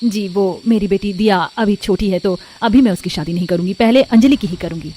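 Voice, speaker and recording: A woman's voice: medium pitch, fairly quick, dark tone, a little hiss or hum, natural voice. A woman voicing a worried middle-aged mother in a cartoon story, speaking in a maternal, pleading way. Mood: hesitant. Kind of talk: Hindi cartoon story voice acting.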